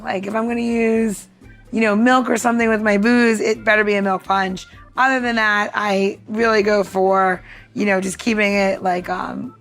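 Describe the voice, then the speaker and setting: A woman's voice: mid-range voice, fairly bright tone. A woman co-hosting a podcast, talking casually and informally in a rambling, chatty way, as if walking someone through a recipe. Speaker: podcast co-host giving drink recipes. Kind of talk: casual recipe walkthrough and chat.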